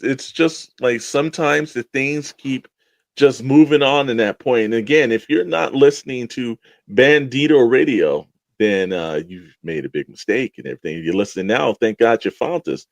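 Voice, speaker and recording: A man's voice: mid-range voice, vocal fry, no echo. A man with a middle-range voice co-hosts a podcast in an enthusiastic, informal style. He rambles through promotional chat as if talking to friends. The sound is dry and close, with very little room in it. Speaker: podcast co-host.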